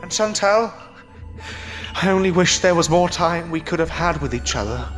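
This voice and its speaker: A fairly high-pitched male hero, acted by a man, loyal and burdened by grief. He speaks earnestly and solemnly in the manner of an audio horror drama, with music playing behind. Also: expressive, moderate pace, a bit bright. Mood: solemn farewell. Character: grieving, self-sacrificing, loyal man defying a monster.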